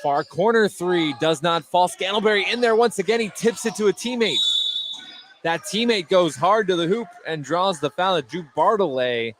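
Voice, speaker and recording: A man's voice: high-pitched, normal speed. A man doing live play-by-play radio commentary of a basketball game. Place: indoor high school gym during a game. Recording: noisy gymnasium with bouncing basketballs. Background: game noise, bouncing basketballs.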